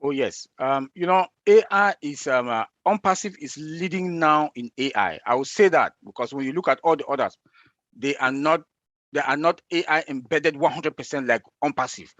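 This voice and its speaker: A man's voice: a little high, moderate pace, fairly bright tone, no echo, dull audio. A man from Africa, a panel guest, speaking emphatically and repeating his key phrases. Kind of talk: casual panel chat.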